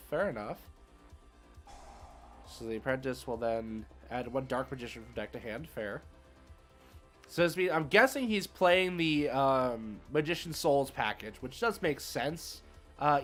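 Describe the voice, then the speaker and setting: A man's voice: fairly high pitch, a bit bright. A man hosting a livestream and giving casual, slangy commentary on a card game as he thinks aloud.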